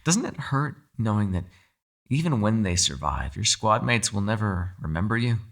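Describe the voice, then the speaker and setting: Man voicing a low, crisp-edged manipulative captive entity. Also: very animated, exaggerated voice. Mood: pointed, persuasive. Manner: measured, formal, probing, quietly condescending. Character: captive mind-controlling anomaly, calm, shrewd, persuasive manipulator. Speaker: voice actor. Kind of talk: ASMR roleplay, dramatic monologue.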